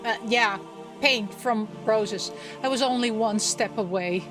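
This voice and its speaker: A woman with a fairly high voice plays through a visual novel. She chats casually, reacts aloud and reads the narration, with game music playing clearly underneath. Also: a little slow. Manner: reacts aloud with small interjections. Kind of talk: casual let's-play chat and reading game text aloud.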